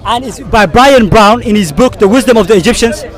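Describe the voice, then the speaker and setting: A man's voice: high-pitched, fairly quick. A man born in Somalia, arguing insistently in a street debate, pressing with "okay?" and "yeah?". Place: outdoors on a city street. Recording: crowd noise outdoors.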